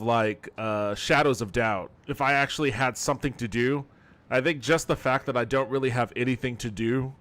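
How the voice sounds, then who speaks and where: A man's voice: mid-range voice, a bit bright, clear, resonant tone, dry recording. A man streaming a game with casual, improvised commentary, provocative and mock-serious, with some swearing. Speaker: streamer playing an AI game demo.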